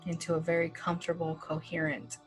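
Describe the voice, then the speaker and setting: A woman leading a guided breathing meditation, speaking slowly and gently with long pauses and casual fillers. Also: sits low, completely flat delivery, low-quality recording. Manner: slow, gentle, soothing instructions with long pauses. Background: soft background music.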